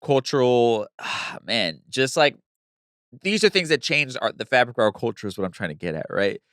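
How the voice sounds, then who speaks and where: A man hosts a casual podcast interview, full of encouragement and quick affirmations. He stumbles and restarts his phrases as he goes. The sound is dry and close, with no room echo. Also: medium pitch, big pitch swings, fairly bright tone, smooth. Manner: enthusiastic, affirming, talks in restarts. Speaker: podcast host.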